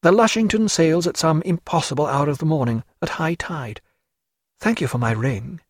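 A man's voice: a little high, moderate pace, breathy edge, no echo, studio quality, voice pushed up. A man doing a chattering, fond society lady. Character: elegant lively woman, fond and teasing. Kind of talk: audiobook character voice.

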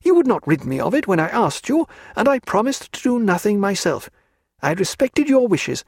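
A man voicing a high-strung, imploring aristocratic young woman. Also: sing-song, normal speed, creaky, slightly rough, dry recording, studio quality, exaggerated voice. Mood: pleading. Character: proud desperate pregnant young woman, aristocratic.

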